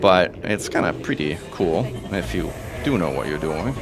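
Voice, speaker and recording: A man from Italy whose first language is Italian, giving excitable live commentary as he plays, talking through each move. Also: low-pitched, normal speed, music in the background. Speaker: streamer and commentator.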